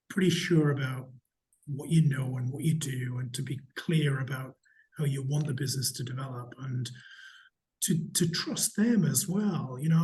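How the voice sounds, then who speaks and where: Middle-aged geordie guy talking slow and careful in an interview, kinda candid, restarts a lot. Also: mid-range voice, darkish tone, poor audio. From Newcastle, England. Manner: measured, deliberate, candid, with frequent restarts. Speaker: hotel company chief executive, interviewee. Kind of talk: reflective interview answers.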